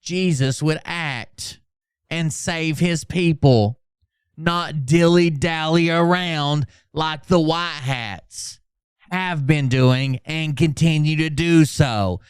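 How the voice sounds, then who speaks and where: Pompous male patriot crusader for a mock dramatic reading, played by a man: raised pitch, stretched theatrical words, clear tone, indignant. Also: dry recording, clean studio sound. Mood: self-important. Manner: drawn-out, theatrical delivery. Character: overdramatic male self-styled patriot leader, pompous and indignant.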